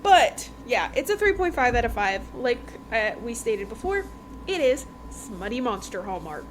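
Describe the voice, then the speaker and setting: A woman's voice: a little high, sing-song, normal speed, slight background noise. A woman podcast host giving a casual, chatty book review in an informal, emphatic way, repeating phrases for effect.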